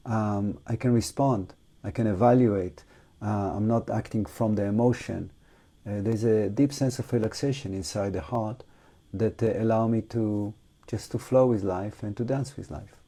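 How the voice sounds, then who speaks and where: A man with a fairly low, very soft and dark voice teaches meditation in non-native English. He speaks off the cuff in a reflective, halting way, leaving long pauses between short phrases. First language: not English. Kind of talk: unscripted guided meditation talk.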